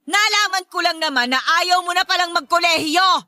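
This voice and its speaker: A very high, piercing mother's voice played by a woman in a radio drama. She is short-tempered and nags relentlessly, scolding her grown children in a bright, sharp tone, close and dry. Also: moderate pace, dry recording. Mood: angry scolding. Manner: nagging, berating, exclamatory. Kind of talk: Tagalog radio drama acting.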